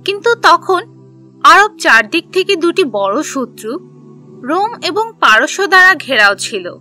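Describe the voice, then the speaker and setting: A woman narrating a scripted children's history story in a simple, clearly phrased storybook manner. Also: high voice, sing-song, measured pace, bright, forceful tone, soft background music.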